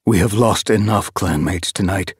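A man voicing a weary, grieving male clan leader cat in a storybook reading, speaking in a quiet murmur. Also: deep voice, normal speed, husky, no room sound, studio quality. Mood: sorrowful.

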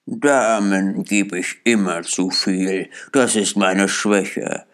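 A man's voice: deep voice, fairly even intonation, slow, a bit bright, smooth. A man voicing a shrewd old male junk dealer in an audiobook, grumbling and mock-generous as he haggles. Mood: haggling.

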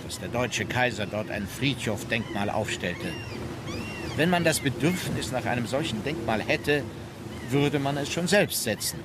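An actor playing a wry member of an imperial travelling party, narrating memoirs in a radio drama. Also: male voice, medium pitch, heavy background noise, natural voice.

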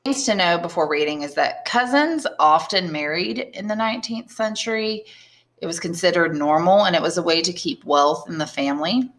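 A woman's voice: fairly low pitch, normal speed. A woman English teacher giving a plain, didactic lesson and narrating a book. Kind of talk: explanatory lesson and audiobook narration.

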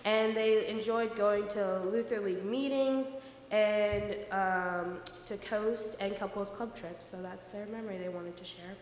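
A woman's voice: mid-range voice, fairly even intonation, measured pace. A young woman reading aloud to a congregation as a co-presenter, at a stand microphone in a large echoey church. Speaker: co-presenter reading listener dedications. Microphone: vintage-style stand microphone. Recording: echoey church hall, faint noise.

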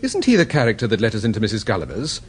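An actor playing a breezy, teasing British gentleman detective in a radio drama, brisk and assured. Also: on the low side, wildly swooping pitch.

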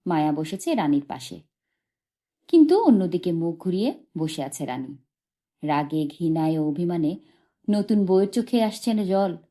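A woman's voice: on the low side, very animated, moderate pace, long pauses, warm tone. A woman narrating a short story as an audiobook reader, expressively and from a script.

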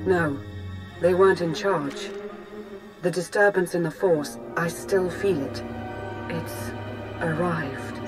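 An actress playing a cool, shrewd Imperial intelligence officer, speaking in a dry, measured way. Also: female voice, lowish voice, over loud music. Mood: grave.

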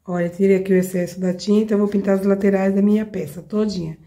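A Brazilian woman with a cold, her voice hoarse and mellow, walks viewers through a craft project at her work table. She talks in a chatty way and keeps checking in with the people watching. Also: mid-range voice, steady tone, fast. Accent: Brazilian Portuguese. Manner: chatty, addresses viewers directly with frequent checks. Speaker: craft tutorial host. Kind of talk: step-by-step craft demonstration. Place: home craft table, indoors.

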